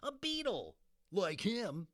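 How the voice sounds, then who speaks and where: Taunting schoolkid played by a man: high, loud, gleefully mocking, storybook reading. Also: huge pitch swings, slow pace, no echo, clean studio sound, full character voice. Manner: taunting, exaggerated.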